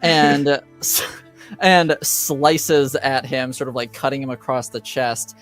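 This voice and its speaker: A man with a smooth, clear voice narrates a tabletop roleplaying game as game master. He takes his time, feeling his way through the action haltingly, with light music underneath. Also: mid-range voice, a bit bright. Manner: halting, hesitant delivery.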